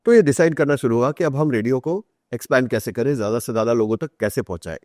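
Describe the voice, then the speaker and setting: A man's voice: mid-range voice, lively intonation, quick pace, a little mellow, no room sound, clean studio sound. A man with an Indian accent telling stories conversationally as a podcast guest, explaining things and mixing Hindi with English. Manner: explanatory, acts out quoted remarks; switches between Hindi and English. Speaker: author, interview guest.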